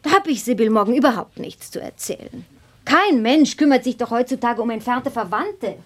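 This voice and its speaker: A woman playing a witty, gossipy high-society hostess in a radio play, with breezy, theatrical aristocratic chatter. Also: animated, moderate pace. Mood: airy, amused.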